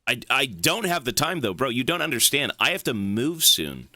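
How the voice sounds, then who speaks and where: A man's voice: medium pitch, big pitch swings, normal speed, sharp tone, dry recording, studio quality. A man from Canada streaming a game, chatting loosely with his chat, swearing and rambling as he answers questions. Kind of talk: casual stream chat while gaming.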